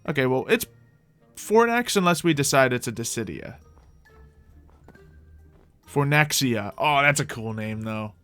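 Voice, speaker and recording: A man's voice: mid-range voice, lively intonation, measured pace, pauses often, fairly bright tone, soft background music. A man giving casual, rambling gameplay commentary as a video host, thinking aloud in a playful way. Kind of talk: casual rambling Minecraft let's play commentary.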